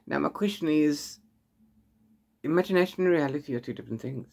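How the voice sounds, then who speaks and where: A man in his forties giving a casual, unscripted book chat, rambling in an animated way with exasperated asides. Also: on the high side, a little fast, gravelly. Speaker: book reviewer vlogger. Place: small room at home with bookshelves.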